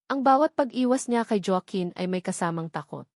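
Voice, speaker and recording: A woman reads a dramatic story in a steady, measured way, her pitch sitting in the middle range. The recording is close and completely dry, with no room echo. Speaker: narrator. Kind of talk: scripted audio drama narration.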